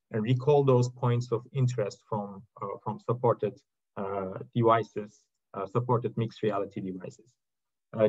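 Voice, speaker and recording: A man giving a prepared technical slide presentation in a halting way, with frequent uhs between short phrases. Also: mid-range voice, a little mellow. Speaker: presenter from a technology company's research and product team. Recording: dull, lo-fi video-call audio.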